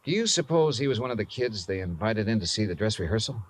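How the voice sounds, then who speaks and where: A man's voice: fairly low pitch, very animated, fast talker, low-quality recording. A man playing a laconic, dry-witted insurance investigator who also narrates, in a 1950s radio drama. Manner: laconic, dryly wry. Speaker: narrator and lead detective. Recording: vintage radio recording with music.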